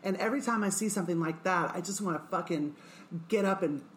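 A woman's voice: fairly low pitch, fast, a little hiss or hum. A woman in her forties from Kansas, a singer talking loosely and profanely in an interview, with many fillers. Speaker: guest performer and singer. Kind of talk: casual interview chat.

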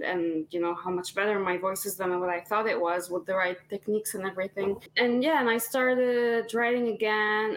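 A woman in her twenties talks as a guest on a casual podcast. Her voice sits at an ordinary middle pitch. She rambles in a friendly way, full of fillers and restarts. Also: slight background noise, poor audio. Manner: chatty, many fillers and restarts. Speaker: singer-songwriter guest.

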